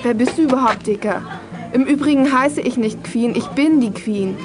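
A woman's voice: fairly high pitch, moderate pace, over music, natural voice. A young woman playing a haughty, snippy girl gang queen in a 1980s children's audio drama. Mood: haughty, dismissive.

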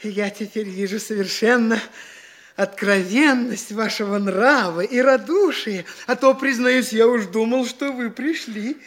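An actor playing an airy, pretentious young gentleman in a theatrical radio play, with affected, exclaiming delivery. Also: very high-pitched, unhurried. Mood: gracious, effusive. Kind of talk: theatrical Russian classic radio play acting.

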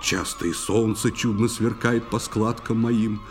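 A man's voice: fairly low pitch, fairly even intonation, unhurried, natural voice. A man playing a whimsical, restless water spirit posing as a monk in a poetic audio play for children. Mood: sly, carefree. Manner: theatrical verse recitation.

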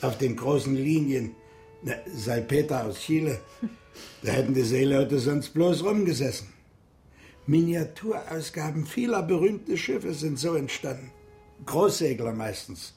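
An actor playing an older, opinionated ship-club member in a radio drama, talking colloquially in a gravelly voice. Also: male voice, fairly high pitch, lively intonation, dark tone, soft background music. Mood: chatty, proud. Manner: colloquial, halting asides. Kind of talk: naturalistic radio drama acting.

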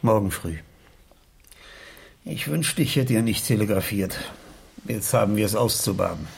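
An actor playing a protective, affectionate uncle in a radio crime drama, speaking gently with a husky voice. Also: male voice, lowish voice, expressive, normal speed, a little hiss or hum. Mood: firm, rueful.

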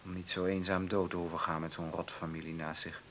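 Resentful brother, very low voice, sarcastic, cutting, radio play, faint hiss. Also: male voice, little pitch movement, fairly quick, fairly bright tone. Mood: sardonic. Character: sardonic, resentful grown brother of a filmmaker. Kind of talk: naturalistic radio drama dialogue.

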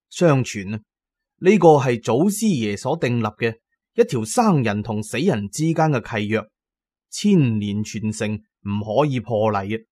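Husky, expressive Cantonese male storyteller. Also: mid-range voice, no room sound, clean studio sound. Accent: Hong Kong or Guangdong Cantonese. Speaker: audiobook narrator. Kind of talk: dramatic scripted storytelling narration.

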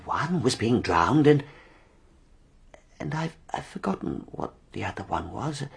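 An actor playing a timid, middle-aged Englishman accused of murder in a radio drama, husky and breathy, with a hesitant, plaintive stammer. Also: mid-range voice, very animated, measured pace, heavily acted. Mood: haunted. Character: timid, haunted, down-at-heel man accused of murder.

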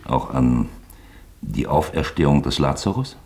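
A very low-voiced, sly investigator in a radio drama. He swings widely in pitch as he probes, wheedles and insinuates behind a show of jovial, apologetic courtesy. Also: animated, unhurried, vocal fry, darkish tone, full character voice. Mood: probing. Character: sly, probing male police investigator, feigning jovial courtesy. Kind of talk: German radio play acting.

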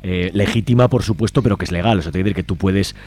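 A man's voice: low voice, very fast, darkish tone. A man with an Aragonese accent from Spain hosting a casual community radio interview, colloquial and often checking for agreement. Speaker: radio show host interviewing a guest.

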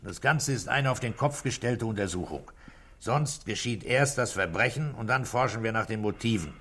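An actor in a 1960s German radio play, playing a thoughtful, conscientious middle-aged police inspector with a dry, deliberate manner. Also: male voice, on the low side, animated, a bit bright, husky. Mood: earnest, troubled.

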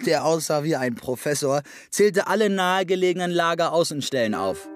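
An actor playing the narrator of a prison-camp story in a scripted literary radio play. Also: male voice, on the high side, expressive, normal speed, soft background music, lightly acted. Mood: narrating.

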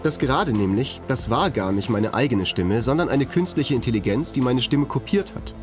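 A man's voice: mid-range voice, lively intonation, normal speed. A man co-hosting a podcast in a conversational, lightly scripted trailer. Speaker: podcast host. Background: music.

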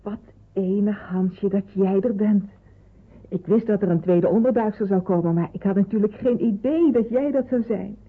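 Actress as brisk, slightly husky wartime nurse. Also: female voice, mid-range voice, close to an everyday voice. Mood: delighted surprise. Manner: brisk, organising. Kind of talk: radio drama acting. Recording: muffled, phone-like radio play recording.

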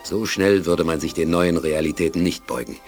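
An actor narrating a German science fiction audio drama as a sober storyteller. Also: male voice, low voice, fairly even intonation, moderate pace, a little mellow, soft background music, close to an everyday voice. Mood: measured, suspenseful.

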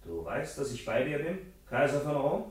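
A man's voice: medium pitch, big pitch swings, moderate pace, roomy sound, natural voice. A man playing a wronged, insistent Roman conspirator who demands answers, declaiming in a radio drama. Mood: cold, menacing. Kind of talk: theatrical radio drama acting.

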